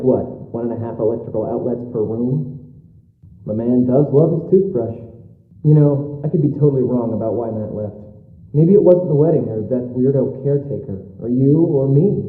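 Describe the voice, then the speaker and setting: A man's voice: medium pitch, natural voice. A man playing a tactless, sarcastic young best friend in a video game, with a glib, conversational, sardonic delivery. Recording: roomy, dull lo-fi game audio.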